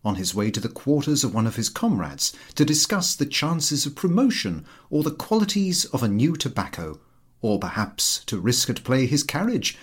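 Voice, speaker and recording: Male narrator for literary fiction audiobook: expressive, lowish pitch, slightly husky, lively intonation. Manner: expressive storytelling delivery. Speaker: audiobook reader.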